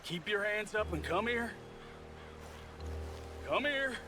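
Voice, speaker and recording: An actor voicing a stern army captain barking orders in a video game. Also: male voice, sits high, expressive, takes it slowly, bright, forceful tone, a little hiss or hum, full character voice. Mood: stern, commanding. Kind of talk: dramatic video game acting.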